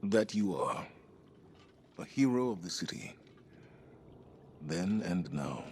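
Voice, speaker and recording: An actor playing a dutiful, restless military commander in a game cutscene, with a grave, deliberate manner. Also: male voice, sits low, animated, a little mellow, a little hiss or hum. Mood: earnest. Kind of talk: dramatic game cutscene dialogue.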